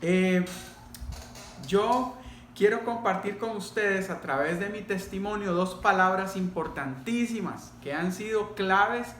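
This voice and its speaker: A middle-aged Costa Rican man speaks in a fairly high voice, as a pastor sharing his testimony. He talks deliberately, pausing and addressing listeners directly. The room is clearly echoey, with a faint layer of noise behind him. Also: a bit bright. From Costa Rica. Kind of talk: personal testimony told to a congregation.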